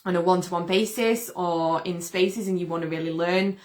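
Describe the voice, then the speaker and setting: A woman chatting on a live stream, her voice a touch croaky and pitched on the low side. She talks in a casual, upbeat way and greets viewers by name. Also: normal speed. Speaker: host.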